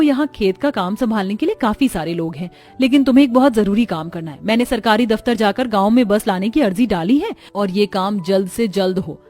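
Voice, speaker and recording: A woman's voice: mid-range voice, warm tone, close to an everyday voice. A woman voicing an educated, resolute young city wife in a cartoon story.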